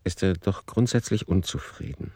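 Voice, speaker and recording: Male radio play narrator: deep register, factual, analytical, no room sound. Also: lively intonation, normal speed, darkish tone, no echo.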